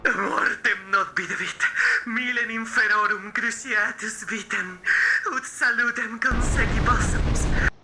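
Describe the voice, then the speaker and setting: An unhinged cult priestess played by a woman preaches doom in a very slow, solemn voice. Her delivery is devout and ominous, an analog horror monologue with prominent music around it. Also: on the high side, fairly even intonation, natural voice, low-quality recording. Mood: chanting, ominous.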